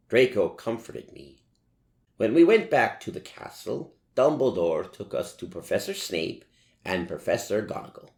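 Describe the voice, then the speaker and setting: Casting: male story reader, old horror-film theatrics, low creaky voice, swooping delivery, roomy echo. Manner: dramatic, deliberate, savouring each phrase. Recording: roomy, echoing voice recording.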